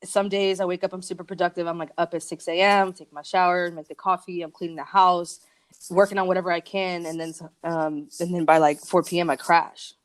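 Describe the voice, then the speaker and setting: Woman, podcast guest, lowish pitch, casual, candid, slangy, conversational storytelling. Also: flat delivery, a little fast. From Argentina and United States. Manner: informal, slangy, talks with many likes and you-knows. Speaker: podcast guest, creative working from home.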